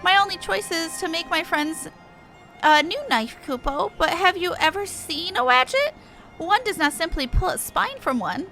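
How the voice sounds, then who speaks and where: A woman's voice: very high voice, animated, moderate pace, sharp tone, faint music behind, voice pushed up, exaggerated voice. A woman voicing a forlorn little male moogle from a video game, in a plaintive, wordy manner. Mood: rueful. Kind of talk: reading game dialogue in character.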